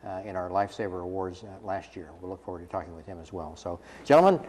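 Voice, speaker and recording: A man hosts a small local interview program, greeting guests in a friendly way and restarting sentences often. His voice is on the low side. A long echo and faint hiss hang on the sound. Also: fast talker, darkish tone. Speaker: host, chapter executive director. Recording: echoey studio with faint hiss.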